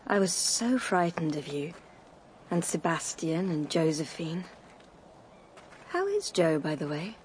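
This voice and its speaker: A woman's voice: low voice, sing-song, a little slow, long pauses, a little hiss or hum. An actress playing a sweet, artless and genteel young society woman in a radio drama. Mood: shy, friendly. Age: young adult. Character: sweet, girlish, wistful young society beauty.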